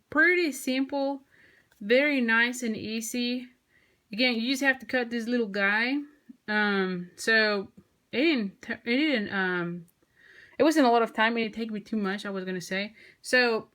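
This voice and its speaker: A woman with a Mexican Spanish accent talks through a craft project in casual, enthusiastic chat. She fills her speech with ums and restarts, and her voice sits at a middling pitch. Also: a bit bright. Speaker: crafting video host.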